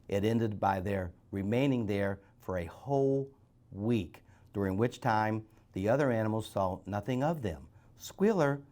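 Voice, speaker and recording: A man's voice: fairly low pitch, darkish tone. A man reading a story aloud as a guest reader, phrase by phrase, stumbling now and then.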